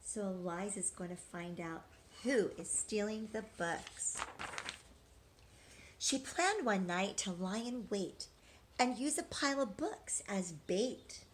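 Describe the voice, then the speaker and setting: Slow, gentle teacher reading to kids. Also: female voice, medium pitch, animated, slight background noise. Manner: gentle and engaging, asks questions. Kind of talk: children's read-aloud.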